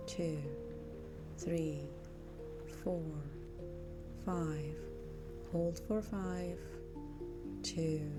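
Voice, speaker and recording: Very slow woman guiding meditation. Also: on the low side. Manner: gentle, measured, with long pauses between short phrases. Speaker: meditation guide. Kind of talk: slow guided meditation narration. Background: music.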